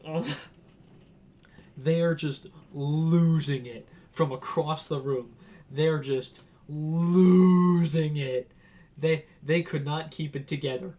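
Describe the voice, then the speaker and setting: A man's voice: on the high side, steady tone, slow, mellow. Laughing young man telling a story. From Washington, United States. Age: young adult. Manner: rambling, laughing, acts out quotes in raised voice. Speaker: vlogger. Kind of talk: casual storytelling.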